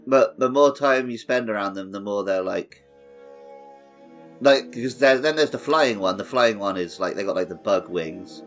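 A man's voice: on the low side, lively intonation, normal speed, faint music behind. A man hosting a podcast, chatting casually about a video game. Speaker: podcast host.

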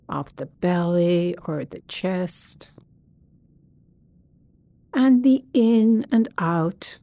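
A woman leading a guided body scan meditation as a teacher, speaking gently and soothingly with long pauses. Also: lowish voice.

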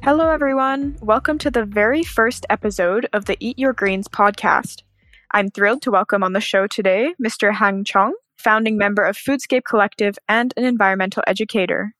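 Female interview host, average pitch. Upbeat, articulate and courteous, with a dry, echo-free sound. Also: brisk, a bit bright, soft background music. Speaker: podcast host. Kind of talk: conversational interviewing.

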